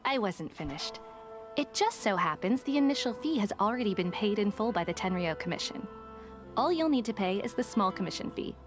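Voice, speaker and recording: A woman voicing a shrewd, businesslike female detective with a smooth, sly manner. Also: medium pitch, expressive, a little fast, lightly acted. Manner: smooth, sly, deal-making. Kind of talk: game voice acting. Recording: game audio under music.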